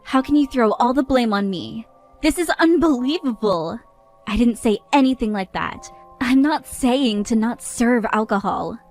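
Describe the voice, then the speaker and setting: A woman's voice: on the high side, expressive, unhurried, a little mellow. A fed-up young bride who scolds with firm indignation, played by a woman. She sounds worn out by the people around her, with faint music in the background. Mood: indignant.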